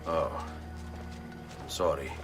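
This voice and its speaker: Game lead: measured, courteous young nobleman assassin, Italian accent, a little off-mic. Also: rumbling low, full character voice. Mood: courteous, wry. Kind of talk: video game cutscene acting. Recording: slightly distant, music behind.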